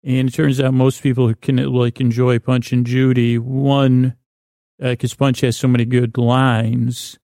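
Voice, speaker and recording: A man hosting a bedtime sleep podcast in a creaky, gravelly voice, meandering and lulling, often halting and repeating himself. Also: mid-range voice, flat delivery, dark tone, dry recording, studio quality. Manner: lulling, soothing. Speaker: podcast host.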